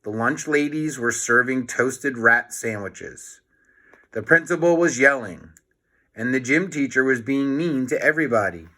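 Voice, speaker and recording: A man narrates a children's storybook at a slow, deliberate pace. His voice is bright and mid-pitched, expressive, and he adds plain explanatory asides as he reads. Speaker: storybook reader.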